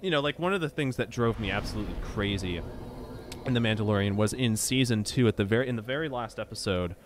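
A man's voice: lowish voice, fast talker, background noise. A young man chatting casually while playing a game, rambling, stammering over his words and swearing when something annoys him. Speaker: gameplay streamer. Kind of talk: casual chat while gaming.

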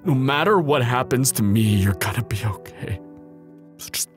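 A man playing a guilt-ridden bodyguard in love in a roleplay audio drama, speaking in an urgent, halting, earnest way. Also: mid-range voice, expressive, soft background music, close to an everyday voice. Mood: tender resolve.

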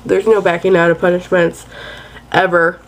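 A woman's voice: on the low side, level tone, moderate pace, poor audio. A young woman chatting casually and playfully to her webcam in a bedroom at night. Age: young adult. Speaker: vlogger. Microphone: webcam at close range.

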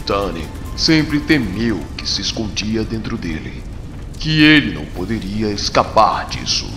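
Brazilian male narrator for a comic: low voice, animated pitch, reverberant. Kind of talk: dramatic scripted comic narration. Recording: long reverb, music behind. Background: music.

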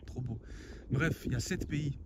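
Man, excitable, slangy, vlogging to camera, loud noise behind. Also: medium pitch, normal speed. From Algeria. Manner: slangy, excitable, talks to viewers as "les gars". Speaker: travel vlogger. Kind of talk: casual travel vlog to camera. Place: outdoors and indoors on a road trip, hotel villa and restaurant. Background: loud ambient noise and vehicles.

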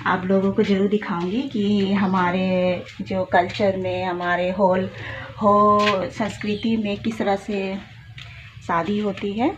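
Young woman vlogger from Jharkhand, slow and chatty, tired from chores, dull phone audio. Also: mid-range voice, little pitch movement. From Jharkhand, India. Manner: chatty, showing chores while walking and working. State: tired from a day of physical work. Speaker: village vlogger. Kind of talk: casual vlog narration to camera. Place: outdoors in a village yard. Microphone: phone camera at arm's length. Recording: dull lo-fi phone audio with clear outdoor noise.

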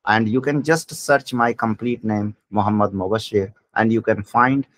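A man's voice: fairly low pitch, steady tone, moderate pace, no echo. A man with an Indian English accent giving a bioinformatics lecture as a voice-over on slides, explaining as he reads and often restarting words.

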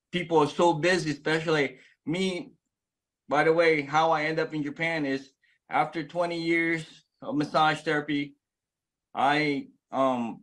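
A man of about forty from the Philippines gives an earnest, excited personal testimonial straight to a phone camera, often restarting his sentences. He is recorded close up in a small indoor room. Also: mid-range voice, rough recording. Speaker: network marketing member sharing his story. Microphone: phone camera at close range.

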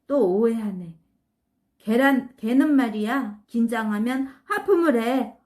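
A woman voicing an old, tired male pet dog in a storybook reading, speaking gently and slowly. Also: a little high, lively intonation. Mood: gentle.

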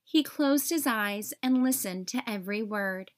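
A woman reading a children's story aloud in an expressive, carefully phrased way for young listeners. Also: mid-range voice, measured pace.

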